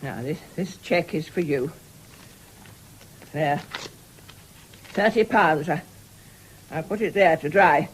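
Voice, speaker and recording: An actress playing an imperious, sharp-tongued but generous older mistress in a 1970s radio drama, with brusque, commanding speech. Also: female voice, sits low, slow pace, pauses often, a little hiss or hum. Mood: brisk, bossy.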